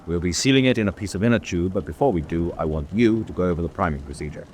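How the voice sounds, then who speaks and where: A low, matter-of-fact officer's voice over faint background noise, acted by a man. He is methodical and instructive, a calm planner in a vintage-style war radio drama. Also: fast, natural voice. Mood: brisk, instructive. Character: methodical, calm POW officer briefing an escaper. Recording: noisy track with background ambience.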